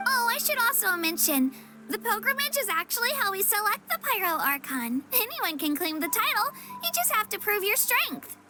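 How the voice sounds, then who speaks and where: A young woman playing an upbeat, helpful girl guide in a video game, speaking in a friendly, chatty, eagerly explaining way. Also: extremely high pitch. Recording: music behind the voice. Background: game music.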